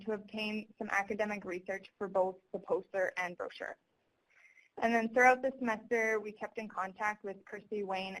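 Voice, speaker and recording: A woman's voice: mid-range voice, poor audio. A young adult woman, a student, giving a prepared presentation on a conference call in a formal, explanatory way.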